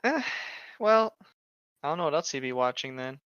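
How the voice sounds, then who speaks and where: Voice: medium pitch, very animated, moderate pace, muffled recording, very noisy. A speaker reading a story aloud mockingly, with casual joking and sarcastic asides. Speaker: co-host of a comedic story reading.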